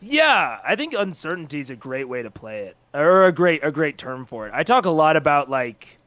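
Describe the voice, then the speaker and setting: A man's voice: a little high, very animated, normal speed, fairly bright tone, dry recording. A man speaking as an interview guest, informally explaining how he runs tabletop games. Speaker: guest, content creator and game master. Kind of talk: casual interview chat about tabletop games.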